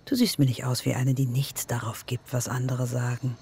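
An actress playing a coarse, hateful female blackmailer in a German radio drama, loud, taunting and confrontational. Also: rumbling low, level tone, normal speed, slightly rough, in a large hall, heavily acted. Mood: menacing. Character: coarse, menacing female blackmailer full of hatred.

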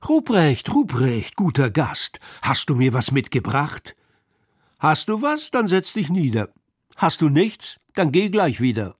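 A man reciting Christmas poems for children in an expressive, deliberate, theatrical storytelling manner. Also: on the high side, husky, no room sound, studio quality. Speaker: poetry reader.

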